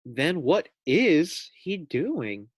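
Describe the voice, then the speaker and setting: Man as a slow, confident storybook girl. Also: a little high, sing-song, slightly rough, dry recording, clean studio sound, voice pushed up, heavily acted. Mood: curious. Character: curious, confident young girl swimmer. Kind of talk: storybook read-aloud for children.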